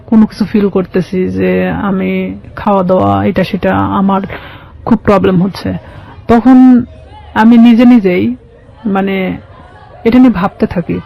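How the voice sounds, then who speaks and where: A woman's voice: medium pitch, creaky, lo-fi sound. A woman with a Bangladeshi accent telling her own life story as an interview guest, colloquially, quoting conversations as she goes. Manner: colloquial, recounts conversations with quoted dialogue. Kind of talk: personal testimony in an interview.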